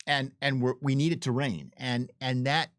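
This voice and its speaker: A man with a mid-pitched voice in a close, dry sound talks casually, like a developer in an unscripted design chat. He is emphatic and often doubles back to restart or repeat a phrase. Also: steady tone, normal speed, fairly bright tone, dry recording. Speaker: game developer on a commentary stream. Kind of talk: casual unscripted conversation about game design.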